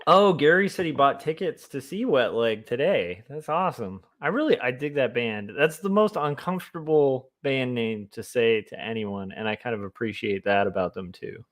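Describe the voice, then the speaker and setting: A man with a loud voice chatting casually to viewers on a livestream while he draws. He rambles, with lots of fillers, and talks into a mic placed close to him. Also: mid-range voice, lively intonation, moderate pace, hardly any pauses. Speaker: comic artist streamer. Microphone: desk mic close to the mouth.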